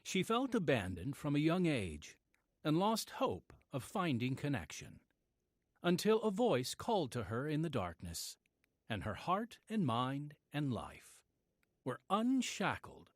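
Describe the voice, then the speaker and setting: A man narrating a scripted radio drama as its host, earnest and measured. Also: a little high, huge pitch swings, dry recording, studio quality. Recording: faint music behind the voice.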